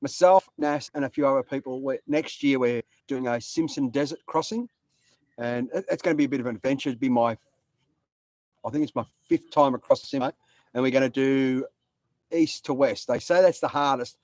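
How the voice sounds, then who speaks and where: A man's voice: medium pitch, a little fast, a little mellow, no room sound. An Australian man, a guest on a live stream, chatting in a blunt, laid-back way. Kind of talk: casual chat.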